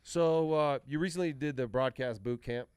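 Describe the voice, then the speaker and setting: A man's voice: medium pitch, normal speed, a little mellow, clear, resonant tone, dry recording. A man hosting a podcast, asking laid-back questions and chiming in with short agreements. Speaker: podcast host. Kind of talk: casual interview chat.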